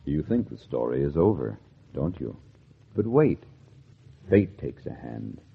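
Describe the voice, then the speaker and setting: Ominous, very deep, slow radio announcer. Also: male voice, big pitch swings, gravelly, breathy edge, full character voice. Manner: theatrical, ominous. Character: ominous, knowing teller of fate. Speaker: announcer of a suspense show. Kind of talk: 1940s radio suspense narration. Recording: tinny old radio recording with organ music.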